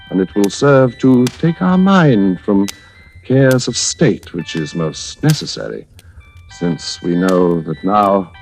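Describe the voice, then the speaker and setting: A man's voice: on the low side, darkish tone, exaggerated voice. An actor playing a deluded asylum patient who believes he is royalty, speaking in a pompous, formal, theatrical way in a vintage radio drama. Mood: wistful. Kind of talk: 1940s radio drama acting. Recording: vintage radio recording, faint music.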